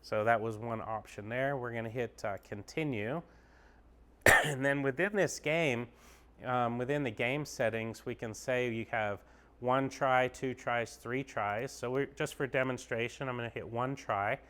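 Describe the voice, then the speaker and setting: Guy with a smooth clear voice, laid back and upbeat, walking you through a golf sim bay indoors. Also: mid-range voice, unhurried. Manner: relaxed, upbeat, explaining while clicking through screens. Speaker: golf pro giving a tour. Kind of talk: casual walkthrough demo.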